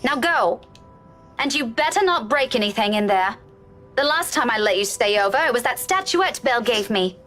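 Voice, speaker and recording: A woman's voice: a little high, expressive, moderate pace, fairly bright tone. An actress playing a headstrong, irritable young woman in a visual novel, speaking curtly and firmly. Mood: irritated, firm.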